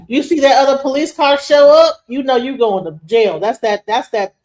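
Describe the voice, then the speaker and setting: A woman's voice: sits high, normal speed, a little mellow, low-quality recording. A woman co-hosting a live stream, emphatically explaining and commenting on a video. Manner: emphatic, explaining, counts things out. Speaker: stream co-host.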